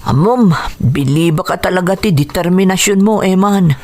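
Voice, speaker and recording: A woman's voice: lowish voice, moderate pace, darkish tone, lightly acted. A woman acting in an Ilocano radio drama as a boxer's anxious, supportive young friend. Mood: concerned, supportive.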